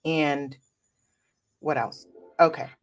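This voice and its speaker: A woman's voice: low voice, fairly even intonation, drawn-out words. A woman hosting a livestreamed real estate show, congested and nasal from a cold, upbeat and repeating phrases as she talks straight to her audience on a desk mic. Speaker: real estate podcast host. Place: home office with a computer. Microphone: desk microphone.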